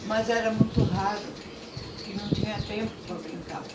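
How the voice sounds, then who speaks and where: A woman's voice: mid-range voice, fairly even intonation, normal speed. A woman in her eighties from Portugal, answering interview questions with short, plain replies. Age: 80s. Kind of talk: casual interview. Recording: echoey room, distant mic, loud noise.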